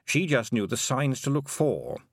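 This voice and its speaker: A man's voice: medium pitch, very animated, measured pace, husky, no room sound, clean studio sound, voice pushed up, heavily acted. A man voicing a talking toad in a matter-of-fact way. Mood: dry. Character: dry, knowing talking toad.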